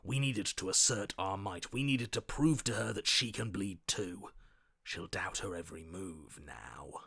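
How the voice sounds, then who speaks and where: A man playing a stubborn, combative inner voice in a video game, forceful, scornful and commanding. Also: low voice, measured pace, creaky, breathy edge, no room sound, studio quality, full character voice. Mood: grim determination. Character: stubborn, combative male inner voice itching for a fight. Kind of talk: dramatic video game voice acting.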